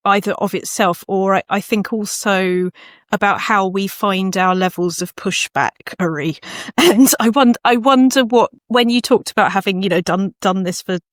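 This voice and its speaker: A woman with a medium-pitched voice interviews in a conversational way. She is thoughtful and tentative, feeling her way through long questions, recorded with very little room sound. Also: normal speed, dry recording, clean studio sound. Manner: tentative, reflective, circling questions. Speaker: host, writing coach.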